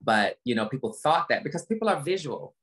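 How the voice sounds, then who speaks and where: A low-pitched woman speaks as a guest in an interview over a video call. She talks reflectively about personal experience, leaning on repetition and emphasis to land each point. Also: big pitch swings, brisk, fairly bright tone.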